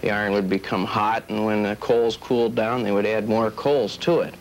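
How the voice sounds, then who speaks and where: A man's voice: on the low side, steady tone, moderate pace. An American man explaining items step by step in a plain, methodical way on an old tape. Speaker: collector demonstrating items. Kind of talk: explanatory show-and-tell. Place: indoors at table by window. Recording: faintly noisy old videotape.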